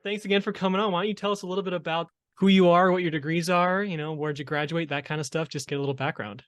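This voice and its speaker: A man's voice: on the high side, steady tone, quick pace, a bit bright, dry recording, studio quality. A man hosting a podcast, reading an earnest introduction and then politely asking open interview questions. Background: music under the voice.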